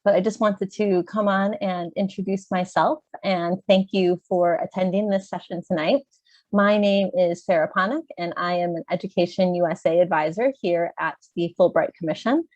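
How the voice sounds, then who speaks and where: A woman's voice: on the low side, fairly even intonation, normal speed, a little mellow, no room sound. Congested American woman on a dull webinar mic. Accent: American, Chicago. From Chicago, USA. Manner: clear, organized, with frequent "um" fillers. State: slightly congested, clearing throat. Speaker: webinar presenter, education advisor. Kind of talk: friendly webinar presentation. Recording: dull, dry video-call audio.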